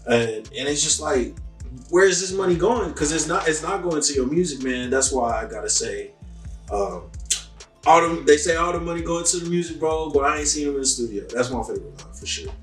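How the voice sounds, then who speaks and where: A man's voice: on the high side, lively intonation, fairly quick. A young man answers interview questions in a loose, rambling way, filling every gap with "um" and "like". He sits a little back from the mic, so his voice sounds distant in an echoey room. Faint music plays under him. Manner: laid-back, rambling, lots of "um" and "like".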